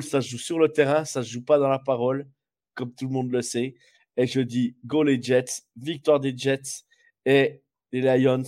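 A man's voice: mid-range voice, darkish tone. Man with a cold, rambling. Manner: rambling, many fillers and restarts. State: has a cold, stuffy. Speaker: podcast co-host. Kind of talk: casual sports chat.

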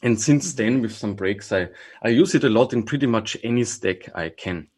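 Brief: man, lowish husky voice, easygoing guest who thinks out loud. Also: moderate pace. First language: German. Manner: relaxed, thinking aloud with restarts and small laughs. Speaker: guest, trainer and consultant. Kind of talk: casual podcast interview.

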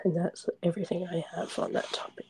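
A woman whispering a hard personal story, halting and restarting often, shaken and trembling as she talks. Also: fairly low pitch, level tone, vocal fry, mellow, breathy edge. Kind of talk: whispered confessional conversation.

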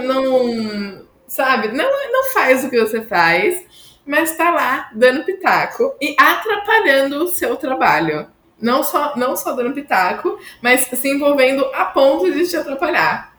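A Brazilian woman chats casually as a podcast co-host, her voice a little high. The recording is roomy and echoey, with faint noise behind her. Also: very animated, measured pace, fairly bright tone. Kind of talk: casual chat.

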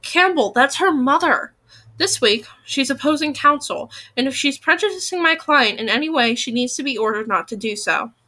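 A woman voicing an indignant, sharp-tongued female character in a novel, pointed and reproachful. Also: sits high, expressive, normal speed, voice pushed up.

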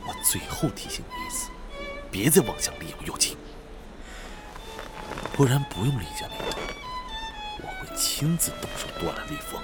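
Haughty young man, wildly swinging pitch. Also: huge pitch swings, moderate pace, pauses often, over music, full character voice, low-quality recording. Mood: hostile, threatening. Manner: scornful, sarcastic, threatening. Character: haughty, hot-tempered protective rich young man. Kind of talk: Chinese radio drama acting.

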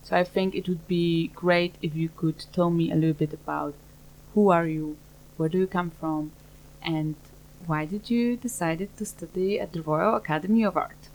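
A woman hosting an interview podcast, asking polite, measured questions. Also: on the low side, fairly even intonation, darkish tone, rough recording. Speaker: podcast host.

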